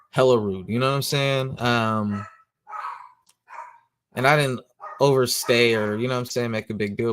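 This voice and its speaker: A man's voice: medium pitch, a little hiss or hum. Slangy, rambling man. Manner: slangy, rambling, lots of "you know what I'm saying"; says he has a loud voice. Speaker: comic book show host. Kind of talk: casual monologue.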